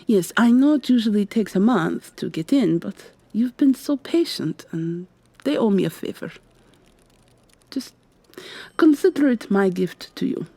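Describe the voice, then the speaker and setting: Woman acting as sardonic vampire receptionist, female, soft mellow tone, breathy husk, dry close sound, ASMR roleplay. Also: mid-range voice, no room sound, exaggerated voice. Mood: courteous, soothing. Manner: polite customer-service patter, hushed urgent asides. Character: weary, sardonic female vampire receptionist, secretly helping an infiltrator.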